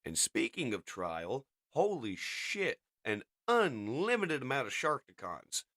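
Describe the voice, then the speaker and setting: A man's voice: medium pitch, huge pitch swings, slow pace, fairly bright tone, no room sound, studio quality. A man narrating and commenting on a comic as its reader and reviewer.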